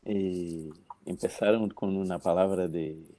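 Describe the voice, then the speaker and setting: A man's voice: low-pitched, fairly even intonation, unhurried, dark tone, a little hiss or hum. A man from Brazil with a Brazilian Portuguese accent, speaking Spanish as a second language, commenting hesitantly in an academic discussion. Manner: hesitant, searching for words, many fillers.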